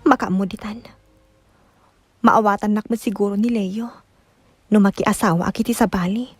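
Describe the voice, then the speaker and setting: A woman's voice: medium pitch, steady tone, moderate pace, slightly rough, dry recording, close to an everyday voice. A woman with an Ilocano accent acting a hesitant, calculating young woman in a radio drama. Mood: musing, scheming.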